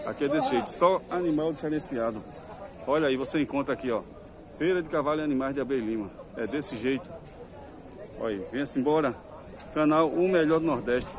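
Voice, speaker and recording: A man with a Northeastern Brazilian accent hosting an informal vlog at a livestock fair, talking in short exclamatory catchphrases. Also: mid-range voice. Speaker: vlog host. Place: outdoor animal fair yard. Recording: outdoor fair with loud crowd chatter. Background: loud crowd.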